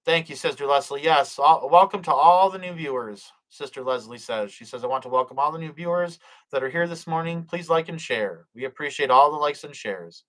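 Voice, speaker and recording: A man's voice: a little high, brisk, fairly bright tone, rough recording. A middle-aged man hosting a live stream, reading the chat aloud, blessing viewers and praying, with plenty of fillers and restarts. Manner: hesitant, many fillers and restarts.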